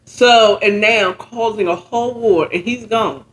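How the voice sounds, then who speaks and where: A woman informally retelling a story in a halting, conversational way, often checking in with her listeners. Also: medium pitch, low-quality recording. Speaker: storyteller retelling a book chapter.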